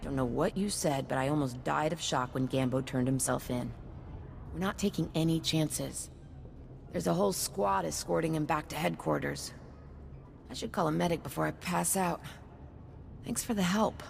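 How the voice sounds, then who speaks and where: Gravelly, battered policewoman. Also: low voice, moderate pace, a little hiss or hum. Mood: relieved, weary. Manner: wry, frank, pained. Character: battered, wry, dogged female police officer. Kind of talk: video game voice acting.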